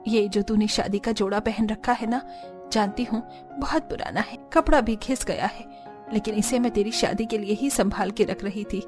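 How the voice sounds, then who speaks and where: A woman's voice: medium pitch, normal speed, music in the background, lightly acted. A woman voicing a tender, humble, poor middle-aged mother in a cartoon story.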